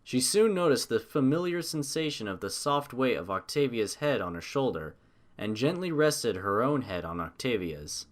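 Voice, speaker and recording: A man's voice: mid-range voice, big pitch swings, moderate pace. A man reading scripted fiction as the narrator of an audio story.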